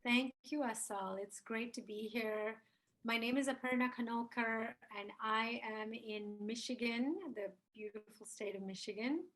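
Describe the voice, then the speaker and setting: A woman's voice: fairly high pitch, fairly even intonation, measured pace, fairly bright tone, poor audio. A woman in her fifties from India, a spiritual mentor, talking as an interview guest in a reflective, earnest way. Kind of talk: interview conversation.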